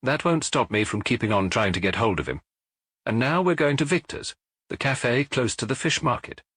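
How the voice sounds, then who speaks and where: A man voicing a brisk, suspicious provincial police inspector in an audiobook, businesslike and insistent. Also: medium pitch, animated, fairly bright tone, breathy edge, dry recording, studio quality. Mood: confident, suspicious.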